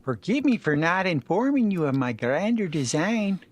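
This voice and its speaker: A man playing a calculating, coolly courteous imperial admiral with a measured, formal manner in a fan fiction reading. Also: high voice, very animated. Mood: cool, assured.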